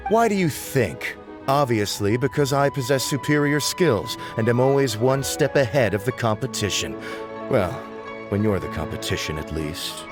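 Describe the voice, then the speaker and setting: A man's voice: mid-range voice, expressive, moderate pace, over music, close to an everyday voice. A man playing a cocky, boastful young informant in a video game, with a smug, theatrical delivery. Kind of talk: video game character dialogue.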